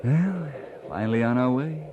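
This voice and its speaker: A man's voice: mid-range voice, expressive, normal speed, lightly acted. A man playing a good-humored young Scottish minister in a radio drama, polite and affectionate with an absent-minded streak. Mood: tender, teasing. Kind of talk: old-time radio drama acting. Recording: noisy old radio drama recording.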